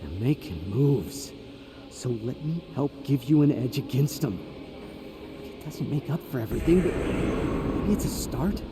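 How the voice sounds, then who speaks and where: A man's voice: medium pitch, sing-song, measured pace, heavy background noise. A man playing a conflicted, defensive engineer and protective brother in a video game, earnest and insistent. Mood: pleading. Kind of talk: dramatic video game voice acting.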